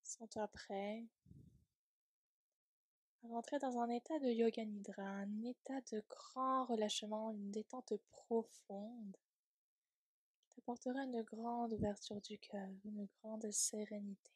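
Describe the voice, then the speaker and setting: A woman leading a guided yoga nidra relaxation in a soft, breathy, soothing voice, gently trailing off at times toward a whisper. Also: on the high side, steady tone, slow, dry recording.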